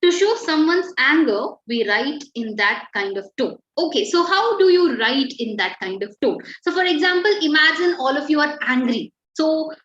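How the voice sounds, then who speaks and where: High-voiced woman teacher. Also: expressive, moderate pace, few pauses, fairly bright tone. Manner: encouraging, explains with examples and fillers. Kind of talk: online class instruction. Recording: echoey, slightly dull call audio.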